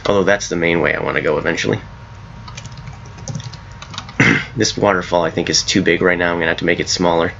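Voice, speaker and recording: A hoarse man casually talking through his own game mod as he plays, rambling and correcting himself as he goes. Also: low voice, fairly even intonation, brisk, long pauses, fairly bright tone, highs cut off, a little hiss or hum. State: hoarse from a cold. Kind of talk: casual gameplay commentary.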